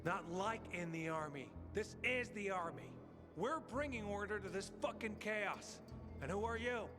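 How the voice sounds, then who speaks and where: A man's voice: high-pitched, measured pace, music in the background. An actor playing a proud, crude male soldier who talks brusquely with swagger.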